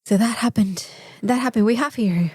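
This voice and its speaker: High-voiced Mexican woman chatting. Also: moderate pace, a little mellow, breathy edge, no room sound, clean studio sound. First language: Spanish. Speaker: podcast co-host. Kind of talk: casual podcast chat.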